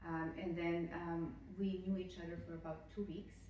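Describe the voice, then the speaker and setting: A woman in her forties from Serbia with a Serbian accent, telling her story haltingly as an interview guest. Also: on the low side, little pitch movement, dull audio. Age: 40s. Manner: halting, hesitant delivery. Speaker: podcast guest. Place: indoors in her house. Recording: very echoey room, distant mic, faint noise.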